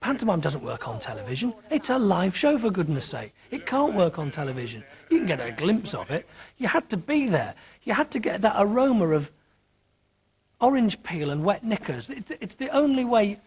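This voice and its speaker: A man's voice: high voice, very animated, normal speed, husky. A British man giving opinionated interview talk in an emphatic, theatrical manner.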